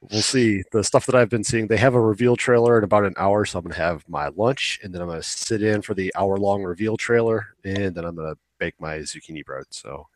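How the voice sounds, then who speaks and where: A young man chatting casually as a podcast co-host, opinionated and rambling, swearing now and then. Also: on the low side. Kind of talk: casual chat about video games.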